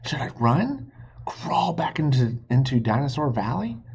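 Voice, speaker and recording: A man's voice: medium pitch, sing-song, measured pace, a little mellow, husky, voice pushed up, exaggerated voice. A man voicing an anxious young boy in a children's storybook, talking in worried, whispery inner thoughts. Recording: dull lo-fi recording.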